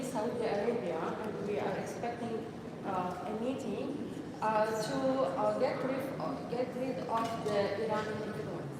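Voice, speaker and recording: Brief: Lebanese-accented female journalist, slightly high voice, halting delivery, distant in an echoing hall. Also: heavy background noise. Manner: hesitant, many pauses. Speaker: journalist asking a question. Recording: echoey hall from far off, loud crowd.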